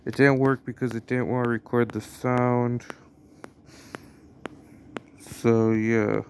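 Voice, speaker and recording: A man's voice: mid-range voice, flat delivery, slow pace, a little hiss or hum. A young American man casually commenting on a mobile game he is playing, rambling and hesitant with lots of fillers. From United States. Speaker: gameplay commentator.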